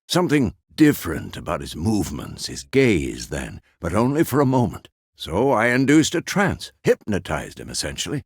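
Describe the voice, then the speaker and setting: A gruff old monster-hunter mentor from a fantasy game. His gravelly, husky voice swings freely in pitch, and he speaks in terse, wry phrases. The recording is close and dry. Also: huge pitch swings, moderate pace, hardly any pauses, no echo, full character voice. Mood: dry, measured. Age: older. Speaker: video game voice actor. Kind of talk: scripted video game character dialogue.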